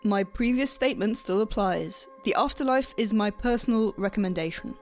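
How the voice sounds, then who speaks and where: A woman playing a composed, detached grim reaper in an audio drama, speaking with stiff, measured formality. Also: medium pitch, faint music behind, natural voice.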